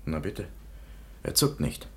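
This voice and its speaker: An actor playing a tired, matter-of-fact police commissioner in a radio play. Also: male voice, slow pace, darkish tone, lightly acted. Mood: stern.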